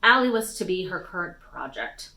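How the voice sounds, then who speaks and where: Female storytime reader, slightly low voice with a bright, forceful edge, expressive fantasy narration. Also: moderate pace, vocal fry. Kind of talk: expressive read-aloud of a fantasy novel.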